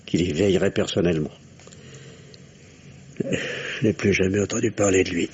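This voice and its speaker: An actor playing a remorseful, weary middle-aged father in a radio drama, husky and grave. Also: deep voice, normal speed, darkish tone, muffled recording, slight background noise, lightly acted. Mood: grave confession. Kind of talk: French radio drama acting.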